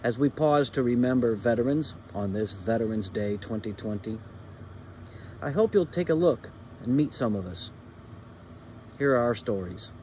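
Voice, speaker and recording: A man in his 50s who grew up in Indiana speaks in an even, earnest, measured way, at a middle pitch for a man. A faint background hiss sits under his voice. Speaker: veterans group representative. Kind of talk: introduction and personal reflection.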